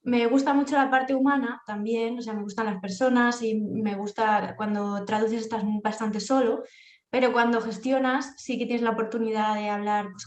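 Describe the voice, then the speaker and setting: Spanish woman chatting as an interview guest, voice on the high side, roomy echo. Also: darkish tone. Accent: Spanish from Spain. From Castilla-La Mancha, Spain. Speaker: interview guest, freelance project manager. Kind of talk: conversational interview answers. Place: indoors at home, echoey room.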